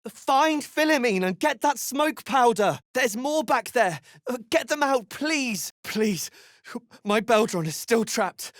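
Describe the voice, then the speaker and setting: A woman playing a desperate, grieving deep gnome in a video game, earnest and pleading. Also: expressive, slow, no room sound, studio quality.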